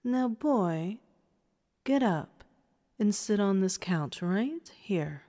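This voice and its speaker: A woman's voice: mid-range voice, lively intonation, drawn-out words, mellow. A woman playing a domineering, condescending woman who commands and taunts in an audio story. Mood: taunting. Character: domineering, condescending woman running a training program. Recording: reverberant hall-like echo.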